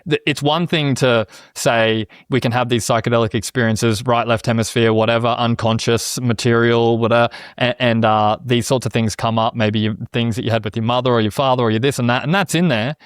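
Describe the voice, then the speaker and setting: A man's voice: mid-range voice, fairly even intonation, fairly quick, hardly any pauses, smooth, no room sound. A man in his thirties talks through ideas on a podcast. He is earnest and a little scattered, doubling back on his own words. His voice sits mid-range and the recording is dry and close. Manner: rambling and earnest, many restarts, often says "you know what I mean". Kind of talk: casual conversation.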